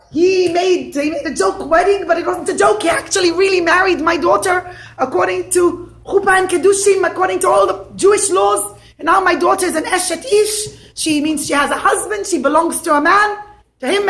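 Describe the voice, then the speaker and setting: A woman voicing a rich, blustering older father who shouts in disbelief. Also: high voice, lively intonation, normal speed, roomy sound. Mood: indignant.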